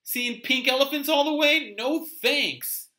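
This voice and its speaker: A man's voice: very high voice, measured pace, sharp tone, pitched above the performer's own voice, heavily acted. A man voicing a wary, sassy young woman hitchhiker in a folksy, blunt way, as in a radio play. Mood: scornful, indignant.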